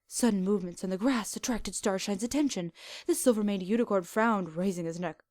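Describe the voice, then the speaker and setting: A woman reading fan fiction aloud as an expressive scripted narration. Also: mid-range voice, a little fast, no echo.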